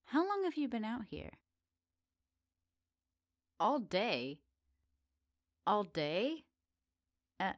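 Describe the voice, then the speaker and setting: A woman's voice: on the high side, dry recording, full character voice. Woman playing flirty, teasing witch, close dry sound. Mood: concerned. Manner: teasing, gently doting, slightly old-fashioned politeness. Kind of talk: ASMR roleplay addressed to the listener.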